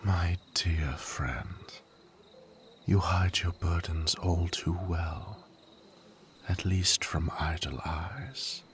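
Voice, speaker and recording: Casting: actor as a deep-voiced, slow-talking loyal courtier for fantasy audio drama. Theatrical, silver-tongued, dryly teasing. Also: male voice, fairly bright tone, a little hiss or hum, full character voice. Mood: tender.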